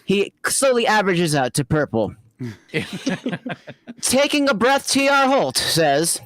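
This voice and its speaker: A woman hosting and narrating a comedic amateur fan fiction reading in a giggly, halting way. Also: mid-range voice, very animated, fairly bright tone, no echo. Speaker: narrator and host.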